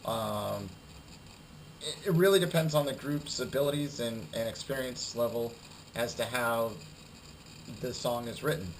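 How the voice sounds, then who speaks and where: A young adult man with a cold giving a recorded class presentation, halting and full of fillers and repeated words. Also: medium pitch, a little hiss or hum, rough recording. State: has a cold, losing his voice. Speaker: student presenter.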